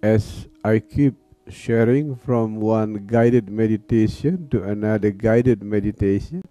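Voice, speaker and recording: Very dark, slow-voiced man guiding meditation. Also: lowish voice, no room sound. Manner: calm, with long pauses. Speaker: meditation teacher. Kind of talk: guided meditation instruction. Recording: dull, lo-fi sound. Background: soft music.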